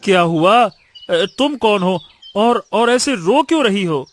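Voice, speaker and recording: Man as a high-voiced, curious younger brother. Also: lively intonation, slow pace, a little hiss or hum. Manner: questioning, eager. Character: curious, deferential younger brother, poor village lad. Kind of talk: Hindi cartoon story dialogue.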